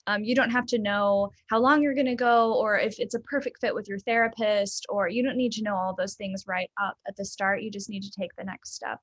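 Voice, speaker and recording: A young adult woman from the American South, a therapist talking casually and thoughtfully in an interview, with frequent ums and soft laughs. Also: mid-range voice, fairly even intonation, brisk, hardly any pauses, dry recording. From Southern United States.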